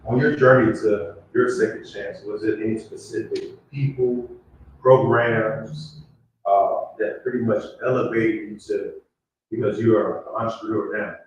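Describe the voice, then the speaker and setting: A man with a slightly low voice moderates a live panel, asking guests questions. He is recorded from a distance in a heavily echoing room, with faint noise behind him. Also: steady tone, measured pace. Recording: echoey room, distant mic, background noise.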